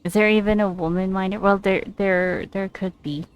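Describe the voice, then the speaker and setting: A woman's voice: mid-range voice, steady tone, moderate pace, smooth, no room sound. A woman giving casual commentary while she plays a video game. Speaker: gamer playing and commenting.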